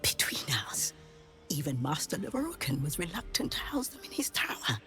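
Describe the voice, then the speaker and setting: A woman's voice: sits low, very animated, normal speed, sharp tone, faint music behind, exaggerated voice. A woman playing a prim, condescending, book-loving shop librarian who whispers in a fantasy video game. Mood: hushed, proud. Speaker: bookseller in literature department.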